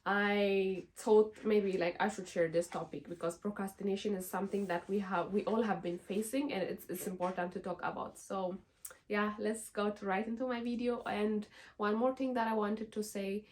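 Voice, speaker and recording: A woman's voice: mid-range voice, steady tone, normal speed, a little mellow. A young woman from Ethiopia, speaking English as an in an earnest, encouraging self-help vlog, full of "like" and "you know". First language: Amharic. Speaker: vlogger.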